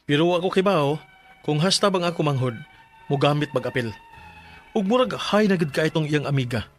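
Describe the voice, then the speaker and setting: An actor in a Bisaya radio drama playing a protective, aggrieved older brother with a hot temper. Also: male voice, fairly high pitch, lively intonation, fairly quick, faint music behind, close to an everyday voice. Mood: somber recounting.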